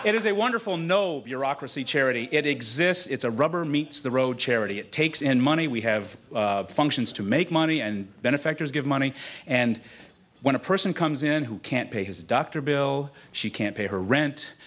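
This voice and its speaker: Mid-pitched man giving an informal introduction. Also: animated, moderate pace, a bit bright, a little hiss or hum. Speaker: presenter at a convention. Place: live in front of a convention audience.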